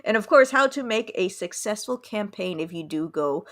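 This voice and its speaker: A woman's voice: medium pitch, expressive, normal speed, fairly bright tone. A woman hosting an interview in an informal, chatty style. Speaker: interviewer, host. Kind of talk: casual interview chat.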